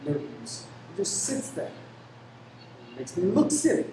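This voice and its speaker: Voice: medium pitch, sing-song, measured pace. A performer in a radio play acting a grumbling, unsatisfied shop customer with a peevish, clipped manner. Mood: disgruntled. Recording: very echoey, distant, clearly noisy.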